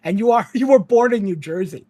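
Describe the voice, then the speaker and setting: A man's voice: sits high, expressive, brisk, mellow. Sardonic Ukrainian-raised man chatting. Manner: rambling, sardonic, laughs often. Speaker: podcast guest. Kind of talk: casual podcast chat.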